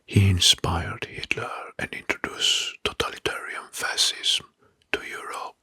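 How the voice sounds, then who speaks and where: A man narrating in a whispered ASMR style, slow and deliberate with a breathy voice, close to the mic. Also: on the low side, huge pitch swings, no echo. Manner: slow, deliberate, repeats words softly. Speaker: host of ASMR history video. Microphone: close mic, dry.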